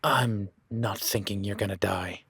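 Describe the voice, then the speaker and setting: A man's voice: fairly low pitch, unhurried, creaky, fairly bright tone, breathy edge, no echo, exaggerated voice. A man playing a rugged, plain-spoken cowboy ranch hand with a folksy, easygoing manner that slips into casual swearing, in an audio roleplay. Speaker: ranch hand guiding a newcomer.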